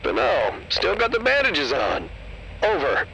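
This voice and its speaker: A man's voice: high voice, wildly swooping pitch, measured pace, gravelly, exaggerated voice. A man playing a weary, laconic coworker in an audio drama, heard through a walkie-talkie radio filter. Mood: weary, rueful. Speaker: voice actor in a fiction podcast. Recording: crackly walkie-talkie radio with loud background.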